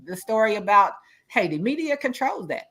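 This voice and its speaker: A woman's voice: mid-range voice, very animated, normal speed, fairly bright tone. A woman on a discussion panel chatting casually and reasoning aloud in run-on thoughts. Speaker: talk show panelist.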